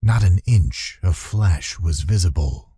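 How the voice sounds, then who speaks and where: A low-voiced man reads a children's fantasy tale with theatrical suspense. His delivery is unhurried and very husky, with lively swings in intonation. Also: no room sound. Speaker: audiobook narrator. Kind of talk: dramatic scripted children's fantasy narration.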